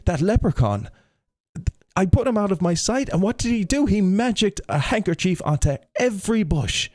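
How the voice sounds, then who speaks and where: A flabbergasted Irish fellow from a storybook tale, acted out by a man, exclaiming in dismay. The voice jumps well up high and stays soft-edged, recorded dry and close. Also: sing-song, normal speed, no room sound. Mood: rueful dismay. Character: flabbergasted young Irish man outwitted by a leprechaun. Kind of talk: storybook character voice in read-aloud folk tale.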